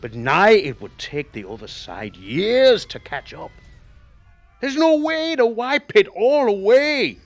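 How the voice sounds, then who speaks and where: An actor playing a haunted former cab driver telling a grim memory in a radio play, halting and emotive. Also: male voice, high voice, big pitch swings, faint music behind, full character voice. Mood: anguished. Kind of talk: dramatic radio play monologue.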